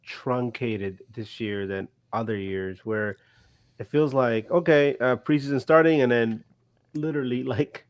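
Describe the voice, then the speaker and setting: A man's voice: medium pitch, a little slow, no room sound. Male podcast co-host, tired, rambling casual banter, dry close-miked sound. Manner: rambling, joking, laughs often. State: tired after a long day. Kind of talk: casual sports chat.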